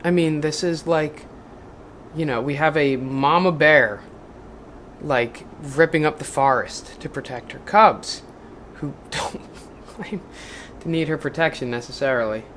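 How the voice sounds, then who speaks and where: A vlogger who reads a book passage aloud in a raised, measured voice, then talks casually and bluntly with plenty of swearing and rambling fillers. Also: mid-range voice, fairly bright tone, a little hiss or hum. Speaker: video maker reading a book aloud.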